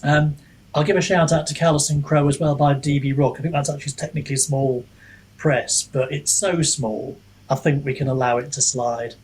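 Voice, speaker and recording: A man's voice: a little high, fairly even intonation, normal speed, darkish tone, husky. A man speaking as a podcast guest in casual discussion, reflective and hesitant as he thinks aloud. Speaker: podcast guest, author and book reviewer.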